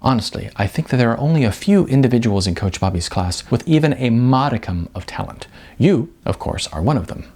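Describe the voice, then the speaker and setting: A man's voice: mid-range voice, lively intonation, fairly quick, darkish tone. A man voicing a shy but pretentious amateur writer-director in an audiobook, with stilted, affected, pompous phrasing. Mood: pompous, intense.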